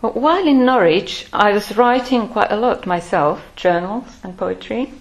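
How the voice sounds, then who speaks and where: Older woman telling her life story slow and thoughtful, lots of pauses. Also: mid-range voice, poor audio. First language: Finnish. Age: 60s. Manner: measured, thoughtful, pausing often. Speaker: Buddhist order member. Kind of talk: reflective personal life-story talk.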